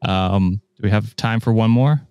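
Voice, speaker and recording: Male co-host, monotone and smooth, dry close mic, self-deprecating. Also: on the low side, normal speed, no room sound. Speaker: podcast co-host reading reviews. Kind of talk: casual chat.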